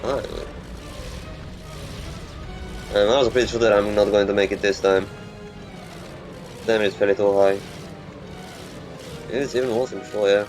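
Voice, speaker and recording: Muttering low-voiced male gamer. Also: steady tone. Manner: muttering, halting, thinking aloud. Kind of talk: gameplay commentary. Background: game music.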